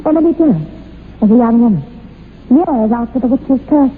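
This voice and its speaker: A woman playing a haunted, devoted young woman in an old radio horror drama, with dramatic, halting emphasis. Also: fairly high pitch, lively intonation, lightly acted. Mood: intense, fearful. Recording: tinny, noisy old radio recording.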